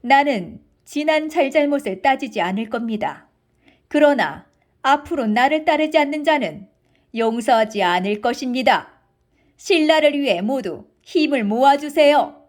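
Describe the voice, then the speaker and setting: A woman voicing a wise, composed and firm queen in a children's storybook, speaking in a measured, dignified, formal manner. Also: high-pitched, expressive. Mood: firm, commanding.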